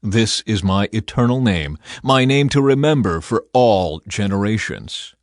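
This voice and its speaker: A man's voice: fairly low pitch, lively intonation, no echo, clean studio sound. A man reading as a solemn, commanding male God. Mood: solemn, authoritative. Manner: authoritative, declarative, measured. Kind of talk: dramatic scripture reading.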